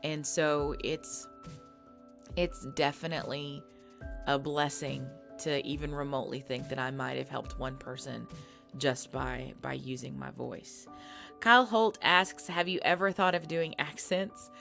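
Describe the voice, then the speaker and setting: Low-pitched woman, casual unhurried Q&A host, light music bed behind. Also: fairly even intonation, gravelly. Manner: soft, thoughtful, with fillers and restarts. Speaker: ASMR creator answering viewer questions. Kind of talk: casual Q&A talk. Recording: faint background music.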